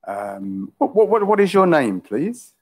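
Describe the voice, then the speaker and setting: A man's voice: a little high, very animated, unhurried, darkish tone. A middle-aged man from England with a London accent, tutoring English over a video call in a chatty way, often repeating and rephrasing his questions. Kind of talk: casual video-call conversation. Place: small room indoors. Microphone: headset.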